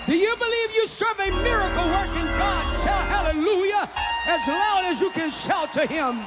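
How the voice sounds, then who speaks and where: A man preaching a fervent Pentecostal sermon in careful English, his first language being Portuguese, often rising to shouts. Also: very high voice. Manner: halting, non-native English phrasing. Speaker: pastor. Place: large church hall. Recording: reverberant hall, faint music behind.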